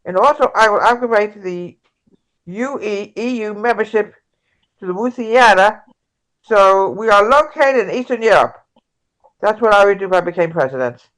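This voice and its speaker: A woman playing a progressive, combative presidential candidate in an amateur table read, reading haltingly with stumbles. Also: medium pitch, expressive, a bit bright, close to an everyday voice, low-quality recording. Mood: earnest.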